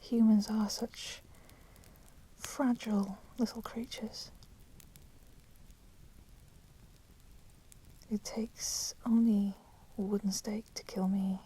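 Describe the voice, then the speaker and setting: A woman playing a coolly menacing, possessive female vampire in a whispered ASMR roleplay, with soft, breathy delivery and formal, deliberate phrasing. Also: mid-range voice. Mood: wry, contemptuous. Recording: steady background noise, crackling fire sound. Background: crackling fire.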